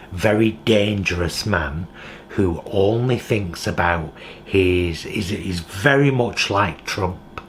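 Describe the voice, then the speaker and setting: A man's voice: deep voice, fairly bright tone, slight background noise. A British man talking in a heartfelt, reflective vlog monologue from his home kitchen. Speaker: vlogger.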